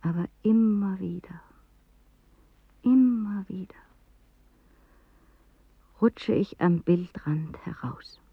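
An actress playing a dreamy woman in a poetic radio drama, reciting in a slow, hushed manner. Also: mid-range voice, husky, no echo. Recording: dry, narrow phone-like radio recording.

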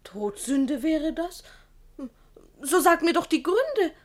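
Very high-pitched, naive girl. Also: big pitch swings, slow, full character voice. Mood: protesting innocence. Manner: artless, girlish, theatrical. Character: naive, innocent, lovestruck young ward girl. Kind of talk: classic German radio play, verse comedy acting.

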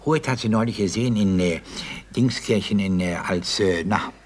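Pompous, husky, scatterbrained aristocrat. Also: male voice, on the low side. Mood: amused, bumbling. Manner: pompous, halting, repeats phrases. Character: pompous, scatterbrained old-school aristocratic court theatre official. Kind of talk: German radio play comedy acting.

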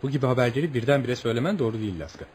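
An actor playing a polite, curious American traveller in a radio play, theatrical and musing, with amused asides to himself. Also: male voice, medium pitch, racing, a little mellow, a little hiss or hum, natural voice. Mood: curious, feigning amazement.

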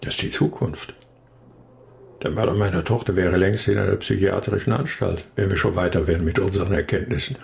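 An actor playing an injured, grieving male professor in a radio crime drama, talking haltingly but insistently in a weakened voice. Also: sits low, creaky. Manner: halting, hesitant, yet insistent. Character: injured male brain research professor, bereaved, weary, stubbornly convinced.

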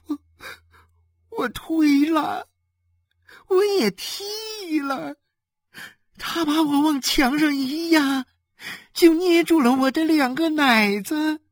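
A woman playing a wronged, frightened wife and mother, crying and lamenting in a faltering, drawn-out way in an audiobook drama. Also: high voice, no echo, studio quality. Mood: frightened, sobbing.

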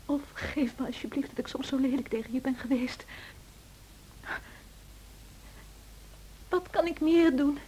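A woman's voice: high voice, warm tone, close to an everyday voice, poor audio. An actress playing a spirited, proud yet tender young lady in a radio play, speaking emphatically, on a hissy old recording. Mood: emotional. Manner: emotional, emphatic, halting.

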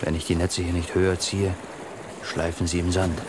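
An actor playing a gruff, solitary fisherman in a radio play, muttering to himself in short exclamations. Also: low-pitched, flat delivery, normal speed, lightly acted. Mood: grumbling. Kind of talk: radio play monologue acting. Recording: loud sea and wind noise.

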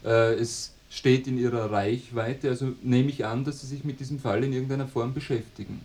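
A man's voice: mid-range voice, fairly even intonation, brisk, darkish tone, lightly acted. A man acting a persistent radio reporter in a mock documentary, formally polite but pressing, often restarting his sentences. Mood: probing. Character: insistent, probing male radio reporter investigating a mystery.

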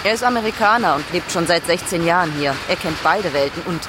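A woman's voice: lowish voice, expressive, fast, lightly acted. Frank working woman amid traffic noise acted. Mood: frank, weary. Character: driven, overworked German expatriate businesswoman, frank and strained. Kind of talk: documentary radio drama monologue. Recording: clear car and street noise.

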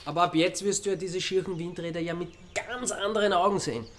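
A high male voice with an Austrian accent , an actor as a probing amateur sleuth in a radio drama. He presses his point and stumbles over repeats. There is slight background noise. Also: steady tone, quick pace, lightly acted. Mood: accusing.

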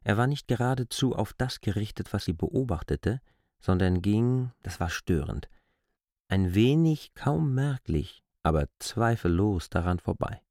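A male narrator in a literary radio play. His low, mellow voice moves expressively in pitch and is recorded very dry. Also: animated, a little slow, no echo.

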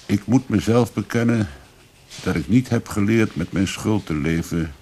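Low-voiced, husky, grave male investigator. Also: normal speed, darkish tone. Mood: grave, probing. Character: probing, grave male police investigator questioning a suspect. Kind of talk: radio drama acting.

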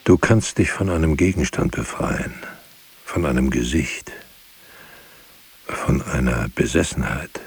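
Very deep, slow, brooding writer. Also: male voice, lively intonation, gravelly, breathy edge, full character voice. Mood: solemn, hushed. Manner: measured, solemn, hushed at times. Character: brooding male writer, grave and searching. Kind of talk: literary radio drama monologue.

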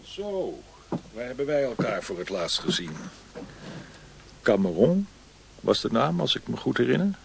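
A man's voice: medium pitch, very animated, measured pace, creaky, pauses often, slight background noise. An actor playing a cynical, world-weary disgraced officer with an opium habit in a radio drama, grumbling as he talks. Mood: sardonic, bitter.

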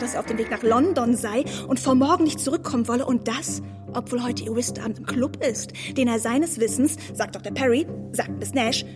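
A young woman acting a naive, adoring gentlewoman in a period radio play, with a breathless, gossipy, effusive manner. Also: on the high side, natural voice.

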